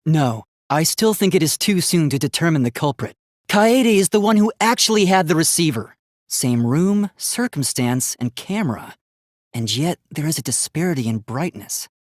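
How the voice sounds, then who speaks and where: A man's voice: fairly high pitch, lively intonation, normal speed, no room sound, clean studio sound, natural voice. A man voicing an earnest, logical young robot student in a video game, speaking precisely and formally. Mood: analytical, then indignant, flustered. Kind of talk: English video game voice acting.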